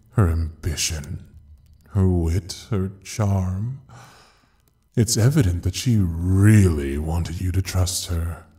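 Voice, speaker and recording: A man playing a sinister, grandiose ruler of hell in an audio drama, mocking and seductive, with theatrical, drawn-out pauses. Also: deep voice, dark tone. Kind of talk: dramatic audio roleplay acting.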